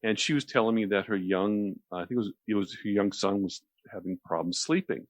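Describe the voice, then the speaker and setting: A deep-voiced man talks on the radio in a close, dry sound. He explains things conversationally as a host would, rambling a little and often repeating a phrase before moving on. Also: a little fast, dry recording. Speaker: radio show host explaining relaxation technique.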